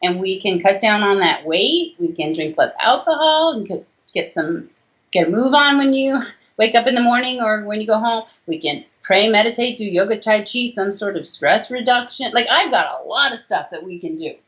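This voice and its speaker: A woman's voice: medium pitch, animated, normal speed, fairly bright tone. A woman in her forties speaking as a doctor in a conversational interview, talkative and emphatic, listing details over a video call. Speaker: doctor and author, interview guest. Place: small room indoors, video call. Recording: slightly dull video-call audio.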